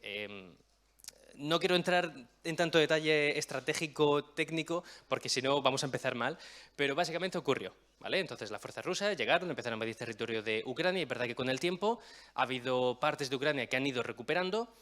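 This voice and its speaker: A man's voice: mid-range voice, animated, fast talker, a bit bright. A young man from Murcia who has lost his regional accent, giving a chatty explanatory public talk to an audience. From Murcia, Spain. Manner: chatty, explanatory, self-deprecating asides. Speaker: guest speaker.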